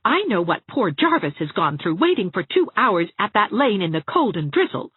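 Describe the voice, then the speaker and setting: A woman voicing a brisk, exasperated young woman in an audiobook, sharp, insistent and scolding. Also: a little high, very animated, husky, pitched above the performer's own voice. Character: brisk, sarcastic, exasperated young woman, a bossy loyal friend. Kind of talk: audiobook character voice. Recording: thin phone-like narrow-band sound.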